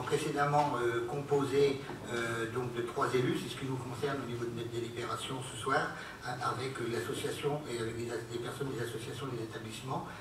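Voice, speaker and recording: A man, an elected local official, formally presenting a council report in a halting, word-searching way. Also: mid-range voice. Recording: very echoey hall, distant mic, noisy.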